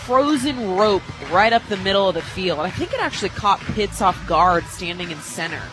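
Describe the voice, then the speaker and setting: An American woman in her twenties giving conversational, explanatory color commentary on a live radio softball broadcast from a stadium booth. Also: mid-range voice, big pitch swings, moderate pace, over music, low-quality recording. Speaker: color analyst, former college player.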